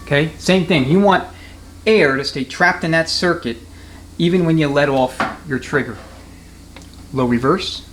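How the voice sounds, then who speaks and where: A man's voice: on the high side, a little slow, long pauses, background noise. A man giving an unscripted, hands-on technical demonstration as a mechanic, explaining things in a matter-of-fact way. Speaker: transmission mechanic and instructor. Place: transmission workshop.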